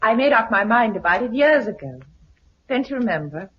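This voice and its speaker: A woman's voice: on the high side, sing-song, brisk, a little hiss or hum. A woman with a British accent playing a resolute, wistful farm wife in a 1950s radio drama. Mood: earnest, concerned. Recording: muffled 1950s radio recording.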